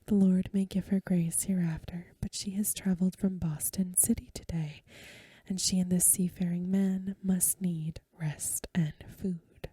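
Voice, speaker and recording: A pious young Puritan man voiced by a woman reader speaks in a solemn, breathy whisper. The storybook delivery is archaic, conciliatory, very soft and dark, recorded close and dry. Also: lowish voice, steady tone, unhurried, no room sound. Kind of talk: soft-spoken storybook reading.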